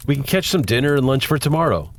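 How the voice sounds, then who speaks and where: A man's voice: mid-range voice, very animated, fast talker, a little hiss or hum. An actor playing a young adult son, playful and a little chiding toward his father. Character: caring grown son, playful and a bit worried.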